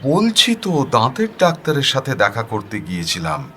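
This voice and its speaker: A man's voice: medium pitch, huge pitch swings, normal speed, a bit bright, slight background noise, voice pushed up. A man playing a flustered, defensive husband, hesitant and protesting as he pleads his case.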